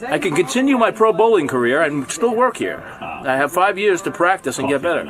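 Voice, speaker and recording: A man's voice: fairly high pitch, big pitch swings, brisk, music in the background. A middle-aged man giving casual answers in an interview. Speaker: show staff member being interviewed. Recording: noisy room with crowd chatter.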